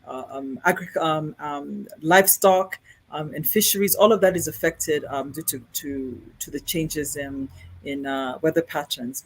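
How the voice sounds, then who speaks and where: A guest speaker from Kenya with a Kenyan accent, answering questions in a halting way and often repeating words while explaining. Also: mid-range voice, rough recording. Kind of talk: answering questions at an online conference.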